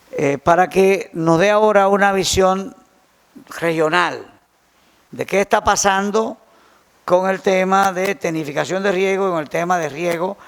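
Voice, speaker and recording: A man moderates a conference, introducing the next speaker in a formal, measured way. His voice sits a little high and has a gravelly, fried edge. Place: conference hall.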